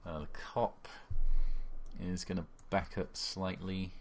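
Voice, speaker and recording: A man with a very low, deep voice runs a horror role-play game. He describes each bite and gunshot blow by blow and throws in sound effects, speaking over a desk mic on a call with a faint background hiss. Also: fairly even intonation, slow pace. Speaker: game master. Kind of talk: narrating a tabletop horror game.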